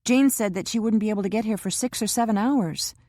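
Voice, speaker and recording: A woman voicing an anxious, devoted young woman who talks eagerly and protests quickly in an audiobook. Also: medium pitch, warm tone, no room sound, studio quality, pitched above the performer's own voice, lightly acted.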